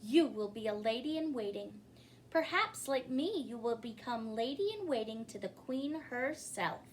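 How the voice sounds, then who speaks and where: A proud, prim lady-in-waiting , voiced by a woman, scoffs as a dismissive storybook mother. She talks slowly in a fairly high voice over a noisy recording. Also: animated. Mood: scoffing, proud.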